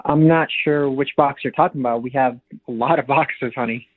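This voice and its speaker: A man's voice: mid-range voice, moderate pace. A man talking casually on the telephone, as someone called by a radio show. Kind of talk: casual phone conversation. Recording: narrow tinny phone line.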